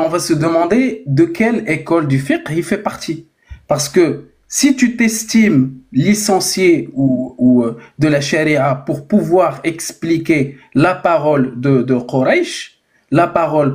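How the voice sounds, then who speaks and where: Man in a live debate keeps hammering the same question, voice on the higher side. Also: a little mellow. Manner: challenging, insistent questioning. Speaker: debate participant.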